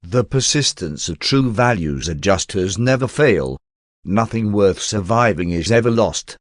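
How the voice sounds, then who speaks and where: A man reading a spiritual text as an audiobook narrator in a formal, measured and solemn manner. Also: lowish voice, no echo, clean studio sound.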